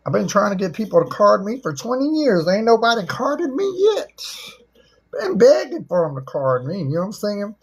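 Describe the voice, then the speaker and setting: A middle-aged woman with a husky voice, chatting casually as a livestream host in a folksy, teasing, joking way. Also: mid-range voice, sing-song, normal speed.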